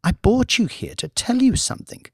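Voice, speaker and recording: A man's voice: very high voice, expressive, normal speed, creaky, breathy edge, dry recording, voice pushed up, exaggerated voice. A man voicing an earnest, secretive young woman in an audiobook, speaking in a confiding manner. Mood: urgent, confiding.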